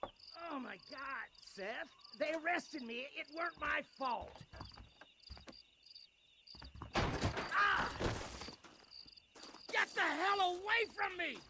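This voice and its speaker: Shrill, panicked captured outlaw. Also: male voice, lively intonation, slow pace, background noise, exaggerated voice. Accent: American. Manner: whining, gasping, stammering. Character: panicked, squirming, defiant captured outlaw in the Wild West. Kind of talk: dramatic video game acting.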